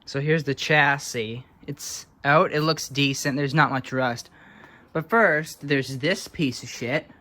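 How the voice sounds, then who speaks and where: A man's voice: medium pitch, slow pace, bright, forceful tone. A man giving casual, unscripted show-and-tell commentary in a dry, wry manner, like a hobbyist vlogger. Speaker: vlogger showing old radio repairs.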